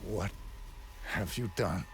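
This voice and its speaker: An actor playing a stoic, cold, controlled assassin in a game cutscene, terse and deliberate, with a gravelly voice. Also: male voice, sits low. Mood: cold suspicion. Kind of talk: cinematic game cutscene acting. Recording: loud music under the voice.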